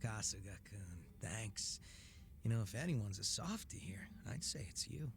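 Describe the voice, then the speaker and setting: An actor playing a laid-back, sly gangster boss with a soft heart in a video game, speaking in a casual, teasing drawl. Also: male voice, on the low side, big pitch swings, a little slow. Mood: soft, sincere. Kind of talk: video game cutscene acting.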